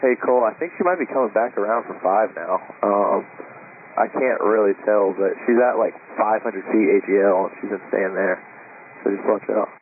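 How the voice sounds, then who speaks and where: A man giving unscripted, halting traffic reports over an aviation radio. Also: lowish voice. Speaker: pilot reporting on local radio frequency. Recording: tinny, noisy air traffic radio.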